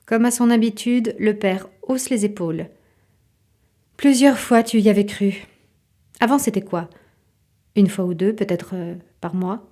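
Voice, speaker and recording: Female narrator, dark mellow tone, literary second-person audio drama storytelling. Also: mid-range voice, a little slow, pauses often.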